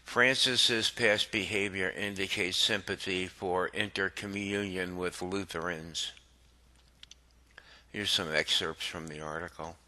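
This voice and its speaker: A man reading an article aloud as the host of a religious commentary channel, in a deliberate manner. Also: sits low, steady tone, sharp tone.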